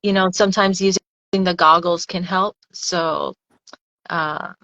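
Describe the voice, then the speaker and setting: A woman, a freediver guest on a casual podcast interview, explaining things thoughtfully and haltingly, often repeating words. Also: lowish voice, steady tone, pushed and bright, dry recording, lo-fi sound.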